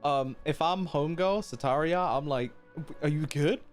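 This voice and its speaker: A man's voice: fairly high pitch, moderate pace. Casual male streamer over game music. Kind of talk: casual reaction commentary with slang.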